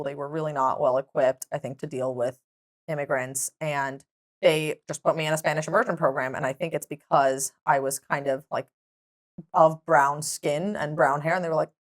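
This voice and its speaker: Fields: lively, laughing woman. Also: low-pitched. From Israel. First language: Hebrew. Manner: lively storyteller who laughs often. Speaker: podcast guest. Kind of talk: casual chat.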